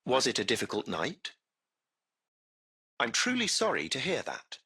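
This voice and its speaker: AI chauffeur, very animated intonation, gravelly edge, formal, servile, ornate phrasing, video game concierge. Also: male voice, mid-range voice, full character voice. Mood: politely solicitous. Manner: formal, impeccably polite, ornate, measured diction. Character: courteous, servile artificial intelligence chauffeur of a luxury taxi. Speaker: AI driver and concierge.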